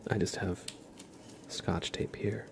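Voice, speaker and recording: A soft-spoken man hosting an ASMR livestream, gently chatting with viewers and often slipping into a whisper, close on the mic. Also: slow pace, heavy background noise. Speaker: livestream host. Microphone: close ASMR microphone.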